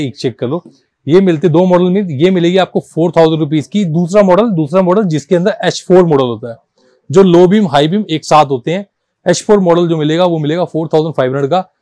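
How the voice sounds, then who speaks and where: A man gives a casual, persuasive sales pitch as a shop seller, often repeating himself and mixing languages informally. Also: mellow, no room sound, clean studio sound. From India. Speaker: car accessories shop seller and presenter.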